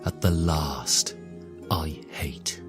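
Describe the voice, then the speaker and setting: A man voicing an embittered dying mother in a fairy tale, slow, hushed, cold and hateful. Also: rumbling low, steady tone, exaggerated voice. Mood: bitter, hateful. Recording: music under the voice.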